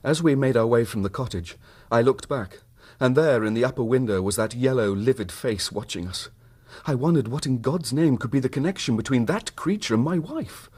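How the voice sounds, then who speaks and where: An actor playing a troubled, proud Victorian husband in a radio drama, with a British accent and an earnest, formal, wounded manner. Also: male voice, mid-range voice, lively intonation, moderate pace, few pauses, a little mellow, slightly rough. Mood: troubled, recounting. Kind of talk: radio drama acting, narrating his own story.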